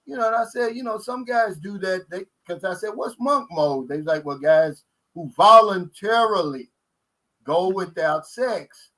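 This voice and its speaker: A middle-aged man, hosting a casual live stream in a relaxed, folksy way and reading viewer messages aloud. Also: high voice, unhurried, poor audio. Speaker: host.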